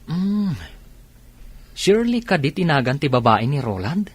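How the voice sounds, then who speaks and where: An actor with an Ilocano accent playing a bashful, earnest village driver in a radio drama. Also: male voice, a little high, very animated, measured pace, breathy edge, no room sound, full character voice. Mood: inquisitive.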